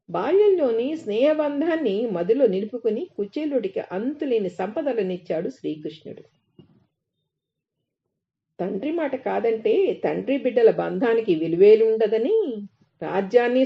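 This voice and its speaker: Gravelly woman reading aloud. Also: on the high side. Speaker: narrator reading articles aloud. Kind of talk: scripted narration of a reflective devotional essay. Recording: dull, lo-fi recording.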